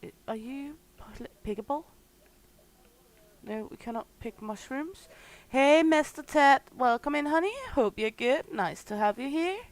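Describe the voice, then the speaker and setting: A woman with a slightly hoarse voice and a Danish mother tongue, casually commenting as she plays a game on a livestream and greeting her viewers by name. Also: sits high. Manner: unhurried, chatty, greets viewers warmly by name. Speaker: gaming streamer.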